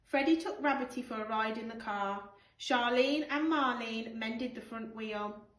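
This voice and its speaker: Unhurried woman storyteller in an echoey room. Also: a little high. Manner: expressive, measured storytelling. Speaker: primary school teacher reading a bedtime story. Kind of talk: picture-book narration for young children. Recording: roomy, distant microphone.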